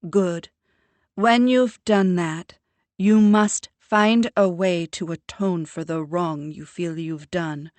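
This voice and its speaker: A woman's voice: on the low side, steady tone, slow, darkish tone, no echo. Stern Jamaican mother, read by a woman. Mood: commanding. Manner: formal, commanding, lecturing. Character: proud composed older Jamaican mother. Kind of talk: audiobook character voice.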